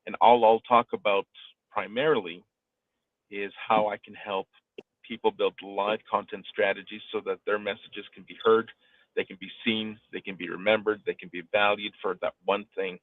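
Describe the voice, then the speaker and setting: A man's voice: fairly low pitch, level tone, moderate pace, no echo. A man giving conversational coaching advice as a host, speaking emphatically and repeating phrases for weight. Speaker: live streaming coach hosting an audio discussion. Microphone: live online audio room connection. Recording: tinny phone-like online call audio.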